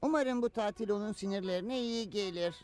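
A man's voice: high-pitched, fairly quick, darkish tone, faint music behind, lightly acted. A man voicing a fussy, over-polite bird scout leader in a cartoon, preachy and easily flustered. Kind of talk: animated cartoon dub acting.

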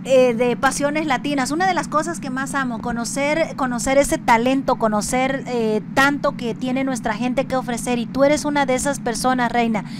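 A woman's voice: high voice, steady tone, moderate pace, few pauses, noisy background. A woman hosting a conversational radio interview, speaking effusively and often repeating her words. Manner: effusive, hesitant phrasing with frequent repetition. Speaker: radio show host.

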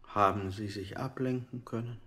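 Casting: politely menacing male interrogator, deep-set voice, formal probing questions, radio drama. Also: fairly even intonation, moderate pace, pushed and bright. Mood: coolly probing. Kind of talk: avant-garde German radio play acting.

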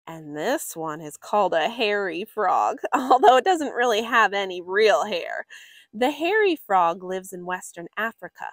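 Expressive woman reading slowly to children. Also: mid-range voice, dry recording. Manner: expressive, drawn-out emphasis for young listeners. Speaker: story reader for children. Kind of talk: read-aloud of a children's nature picture book.

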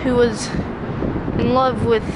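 Casual outdoor vlogger, highish voice, very drawn-out unscripted delivery, handheld camera sound with noticeable background noise. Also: level tone. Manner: halting, unscripted. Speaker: vlogger exploring ruins. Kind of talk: casual narrated walk-through vlog. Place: outdoors in woods by a river and bridge. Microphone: handheld camera mic, operator behind camera. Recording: noisy outdoor camera audio. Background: ambient outdoor noise, passing vehicles.

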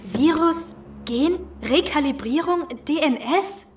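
A woman's voice: high voice, lively intonation, slow pace, a little hiss or hum. An actress playing a bewildered, forlorn young female survivor in a horror audio drama.

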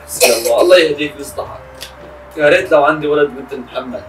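A man playing a worried older father who scolds loudly, recorded from a distance in a roomy space with music behind. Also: on the high side, fairly even intonation, fast talker, natural voice. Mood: exasperated. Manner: raised, exasperated scolding.

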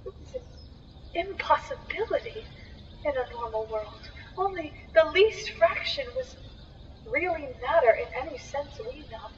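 Woman as a grave learned doctor giving dire warnings, slow and swooping, radio drama. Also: high-pitched, big pitch swings. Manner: solemn, deliberate, declamatory. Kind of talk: theatrical radio drama acting. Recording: muffled, echoing, distant, noisy.

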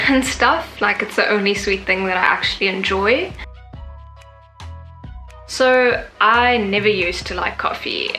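A woman's voice: mid-range voice, bright, forceful tone. A nineteen-year-old woman from Zimbabwe, chatting to the camera in her bedroom, upbeat and full of "like" and "yeah" fillers. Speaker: YouTuber. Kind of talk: casual facts vlog to camera. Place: bedroom with a sofa, indoors. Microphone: camera in front at desk distance.

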